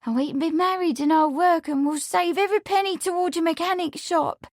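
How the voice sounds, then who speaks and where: A woman's voice: very high voice, fairly quick, no room sound, studio quality, pitched above the performer's own voice. A woman voicing a young maidservant, tearful and scheming, with pleading, sighing delivery. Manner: tearful, pleading, breathy sighs.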